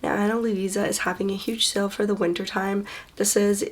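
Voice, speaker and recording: A woman beauty vlogger chatting casually to her viewers while recovering from the flu, her voice hoarse, congested and quieter than usual, with low energy. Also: flat delivery, fairly quick. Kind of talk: casual sponsored vlog chat.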